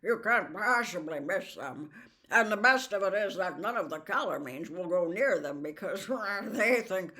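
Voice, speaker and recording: Storybook talking stallion, proud and pompous, loud commanding drawl performed by a female reader. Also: mid-range voice, expressive. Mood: confident, instructive. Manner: pompous, emphatic, drawn-out. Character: Bree, proud male talking war horse, pompous and commanding. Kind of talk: storybook character voice.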